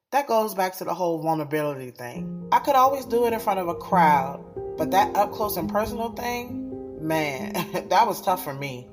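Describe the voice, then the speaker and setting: Actress as a low-voiced, sassy, guarded woman. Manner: candid, conversational, street-smart with mild swearing. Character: guarded, sassy, skeptical young woman poet, first-person narrator. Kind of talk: audio drama dialogue and first-person narration. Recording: music playing behind the voice.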